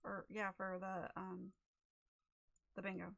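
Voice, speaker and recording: A woman chatting casually as she sets up a livestream, a little raspy and losing her voice, rambling with many fillers into a desk microphone. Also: medium pitch, completely flat delivery, takes it slowly, fairly bright tone, a little hiss or hum. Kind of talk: casual chat while setting up a stream.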